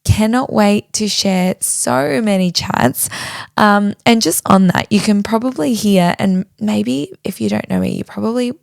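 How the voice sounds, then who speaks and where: Aussie woman podcast host, voice all husky and crackly, gravelly, bubbly and excited, dry close sound. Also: medium pitch, a little slow, no room sound. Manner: bubbly, enthusiastic, laughs often. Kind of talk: casual chat and solo intro. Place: bedroom floor.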